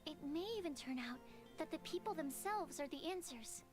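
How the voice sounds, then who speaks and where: A woman's voice: sits high, animated, normal speed, over loud music. A woman playing an earnest, bookish young female alchemist in an anime video game, explaining things politely and carefully. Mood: earnest, thoughtful.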